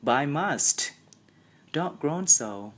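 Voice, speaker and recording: Anxious little brother for an audiobook man acting: raised pitch, excitable, worried, urgent. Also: slow pace, full character voice.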